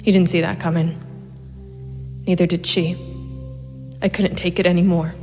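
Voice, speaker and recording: A woman acts out a female vigilante detective in a noir audio drama. Her voice sits a little low, with a hard, matter-of-fact edge as she narrates coldly and methodically. Music plays clearly behind her. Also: little pitch movement, moderate pace, darkish tone, in a large hall, close to an everyday voice. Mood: bitter, resolute. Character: grim female masked vigilante private investigator, cold and methodical.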